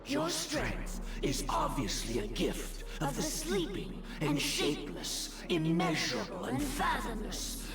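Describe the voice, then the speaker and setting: A woman's voice: sits high, heavily acted. A woman playing a stern, imperious dream master in a video game, speaking in formal, grandiose pronouncements. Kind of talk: dramatic video game voice acting. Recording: roomy game audio under loud music.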